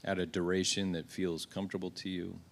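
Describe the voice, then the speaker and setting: Deep-voiced pastor leading soft prayer. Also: male voice, level tone, fairly quick, vocal fry. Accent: Norwegian. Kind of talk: guided prayer meditation. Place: church sanctuary.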